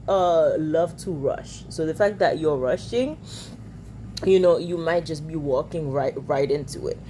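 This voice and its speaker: A woman's voice: deep voice, a little mellow, slight background noise. A woman giving direct, lecturing dating advice in a reaction video.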